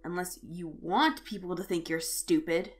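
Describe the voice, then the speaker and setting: A woman's voice: on the low side, normal speed, faint music behind, lightly acted. Woman playing a surly, flustered student guy. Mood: defensive. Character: surly, flustered young male college student.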